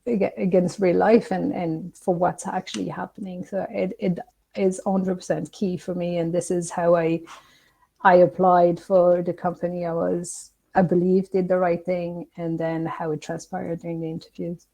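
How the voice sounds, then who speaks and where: A woman panelist whose first language is not English, speaking haltingly on a webinar panel with many restarts. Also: fairly low pitch, little pitch movement, gravelly, warm tone.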